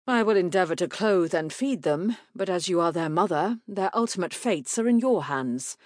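Lady doing a cold stern dad in a period novel, flat clipped dismissive talk, dry recording. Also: on the low side, moderate pace, no room sound, lightly acted. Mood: crisp, dismissive. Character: cold, stern, disappointed father, a gentleman.

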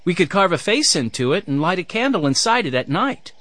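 A man's voice: sits high, very animated, fairly quick, fairly bright tone, no echo, pitched above the performer's own voice. A man voicing a capable, easygoing fourteen-year-old big brother in a children's audiobook. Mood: friendly.

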